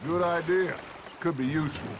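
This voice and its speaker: An actor playing a grandiose, upbeat middle-aged American outlaw leader in a western game, speaking in a theatrical, expansive way. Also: male voice, on the high side, moderate pace, close to an everyday voice. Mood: satisfied. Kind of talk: cinematic western game acting. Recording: loud watery boat noise behind. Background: water and boat sounds.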